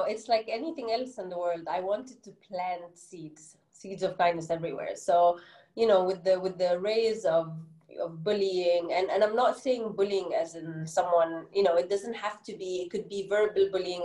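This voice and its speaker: A woman's voice: fairly low pitch, moderate pace, mellow, reverberant. A middle-aged woman from Egypt with an Egyptian English accent, an author answering interview questions earnestly, with many "you know" fillers. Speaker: children's book author, guest.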